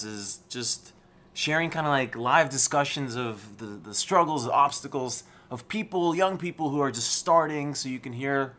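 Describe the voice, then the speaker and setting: A man with an American-schooled English accent hosting his channel, talking casually and conversationally from his office. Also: medium pitch. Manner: relaxed, conversational, with restarts. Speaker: channel host, entrepreneur. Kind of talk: casual talk to camera.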